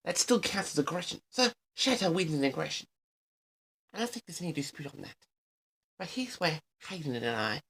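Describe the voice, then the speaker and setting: A man's voice: fairly high pitch, vocal fry, a bit bright, breathy edge, exaggerated voice. A man playing a pompous, easily affronted eccentric who records a comic, theatrical audio diary for his audience. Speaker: audio log host. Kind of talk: comic rambling monologue to an audience.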